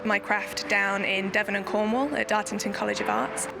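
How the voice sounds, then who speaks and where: A woman's voice: medium pitch, steady tone, normal speed, creaky, music in the background. A woman from Nottingham, a solo theatre maker, talking in a documentary interview in a chatty, self-deprecating way with many restarts. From Nottingham, England.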